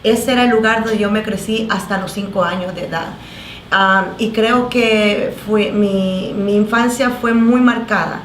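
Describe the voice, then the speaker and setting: Salvadoran lady pastor sharing her testimony, halting, lots of fillers, echoey room, faint noise. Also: medium pitch, fairly even intonation. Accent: Salvadoran Spanish. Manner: earnest, halting, many fillers and restarts. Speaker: pastor, guest. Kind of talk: testimony in a talk show.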